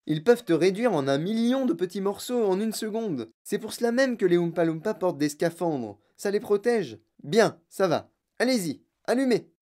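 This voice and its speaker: A narrator voicing an excitable, bossy, eccentric male chocolate factory owner in a children's audiobook, with exclamatory, theatrical commands. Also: medium pitch, voice pushed up, lightly acted. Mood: urgent, bossy. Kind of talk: children's audiobook character acting.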